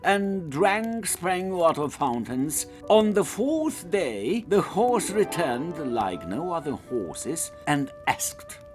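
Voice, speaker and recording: A man's voice: a little high, big pitch swings, slow pace, few pauses, faint music behind. A man reading a scripted children's story as its narrator.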